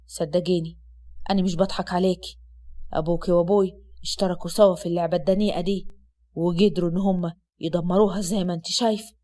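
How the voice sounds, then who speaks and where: A woman doing a soft-spoken husband. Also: steady tone, fast talker, natural voice. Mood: firm, tender. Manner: low, soft and firm. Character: stern, tender young Upper Egyptian husband.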